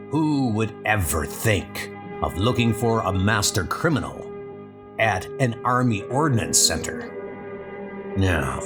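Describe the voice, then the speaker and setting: A man's voice: lowish voice, pitched below the performer's own voice. A man voicing a pompous, gloating master criminal in a comic book dub. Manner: pompous, boastful. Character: gloating, embittered male master criminal in porcupine suit.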